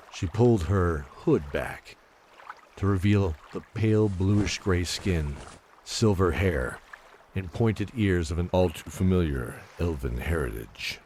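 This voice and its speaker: A man narrating a fantasy story from a script in a measured, dramatic storytelling manner. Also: low voice, a little mellow, a little hiss or hum.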